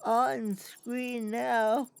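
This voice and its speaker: A woman's voice: a little high, level tone, vocal fry, background noise, exaggerated voice. A woman playing a proud, smart starship communications officer who speaks with some effort in a sci-fi comedy. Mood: proud, assured. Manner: slow, effortful speech.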